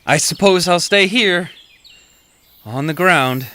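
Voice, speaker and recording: A man's voice: high-pitched, takes it slowly, bright, forceful tone, exaggerated voice. A man playing a vain, helpless, complaining king in a comic stage-style audio drama. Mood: whining, helpless. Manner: pompous, boastful, complaining. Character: vain, whining, helpless old Welsh king.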